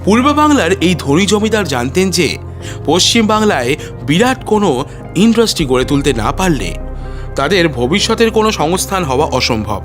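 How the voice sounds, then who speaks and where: High, animated male storyteller. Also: a little fast, over music. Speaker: audio story narrator. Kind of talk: dramatic scripted storytelling narration.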